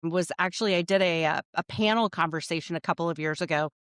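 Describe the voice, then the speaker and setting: Woman, middle-aged, Midwestern American accent, lowish voice, enthusiastic podcast guest, dry close sound. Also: quick pace, clear, resonant tone, dry recording. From Nebraska, United States. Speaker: podcast guest, nonprofit fundraising professional. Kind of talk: conversational interview answers.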